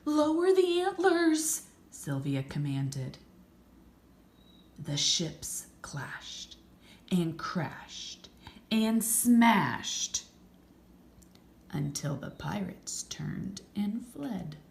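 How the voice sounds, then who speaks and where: Low creaky lady reading a kids book, dramatic, echoey room w faint noise. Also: in a whisper. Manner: dramatic, drawn-out emphasis. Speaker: storybook reader. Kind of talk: expressive read-aloud narration for children.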